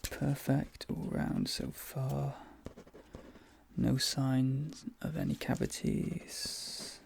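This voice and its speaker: A man softly whispering and murmuring in a soothing, reassuring ASMR dentist roleplay, with breathy delivery and hesitant pauses, close to the microphone. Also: medium pitch, level tone, a little hiss or hum. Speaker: ASMR performer role-playing a dentist. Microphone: close microphone, dry.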